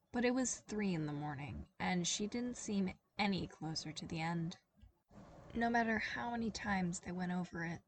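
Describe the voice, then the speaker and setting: A woman narrating a fan fiction story as a podfic reader, in a lively and expressive reading. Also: lowish voice, far from the mic, a little hiss or hum.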